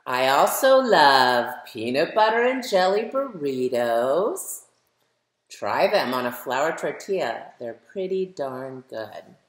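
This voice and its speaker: A middle-aged American woman, a children's author, reads a picture book aloud and speaks directly to young listeners with emphatic, animated delivery. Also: extremely low pitch, takes it slowly. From United States. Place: medium living room at home, indoors. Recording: roomy, echoey home recording.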